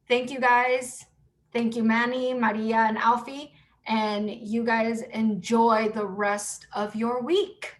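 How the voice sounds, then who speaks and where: Slow-speaking woman moderating a panel. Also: a little high. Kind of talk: closing remarks at an online event.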